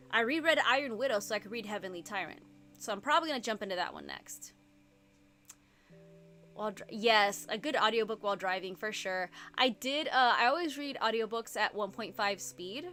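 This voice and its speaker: A woman from Puerto Rico chats with her live-stream viewers from her home computer, rambling from one thought to the next. Her voice sits a little high and rings bright and forward. Faint music plays underneath. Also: expressive. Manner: chatty and rambling, fast-talking with frequent "like". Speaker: booktube streamer hosting reading sprints. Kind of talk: casual live-stream chat.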